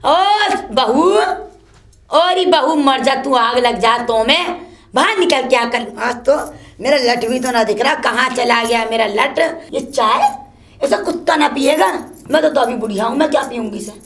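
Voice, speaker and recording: A woman playing a shrill, cursing old mother-in-law who keeps scolding her daughter-in-law. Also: moderate pace, fairly bright tone. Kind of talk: comic one-woman sketch.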